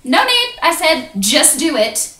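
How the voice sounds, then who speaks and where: A woman acts out a candid, self-conscious woman making an intimate stage confession. Her voice sits fairly high, with dramatic pauses and stretched emphasis. It echoes around a room on a cheap webcam mic. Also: big pitch swings, pushed and bright, heavily acted. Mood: flustered. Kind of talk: theatrical confessional monologue.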